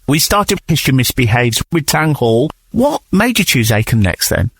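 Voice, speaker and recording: A man's voice: medium pitch, sing-song, normal speed, vocal fry, husky, dry recording. A man presenting a radio programme, introducing guests and putting questions to them in an interview style.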